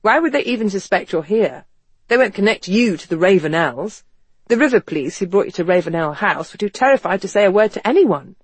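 A man plays a well-bred English gentleman from a period novel, articulate and drily witty. The voice is pitched high and emphatic, in a close, dry audiobook sound. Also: animated, brisk, slightly rough, dry recording. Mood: serious, sceptical. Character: wry upper-class Englishman, an impassioned period-novel gentleman. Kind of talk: audiobook character dialogue.

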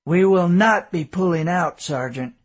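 A man voicing a calm, resolute colonel giving hard orders. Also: on the high side, normal speed, slightly rough. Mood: firm. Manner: calm, commanding. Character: stoic, resolute male colonel.

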